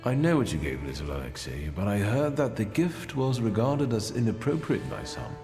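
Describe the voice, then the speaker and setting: A low, self-assured male thaumaturge from a story video game, proud and scheming. His measured lines rise and fall freely, ringing in a long reverb with music behind. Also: sing-song, darkish tone. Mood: polite, calculating.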